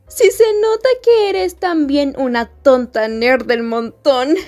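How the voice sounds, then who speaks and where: A woman playing a haughty, mean high school bully girl in an ASMR roleplay, with a taunting, scornful delivery. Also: a little mellow, full character voice. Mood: mocking. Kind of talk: Spanish ASMR roleplay acting.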